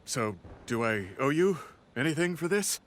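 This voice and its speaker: Weary male delivery worker in a game: slightly high voice, animated, hesitant. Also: moderate pace, a little hiss or hum. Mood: relieved. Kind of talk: scripted game character dialogue.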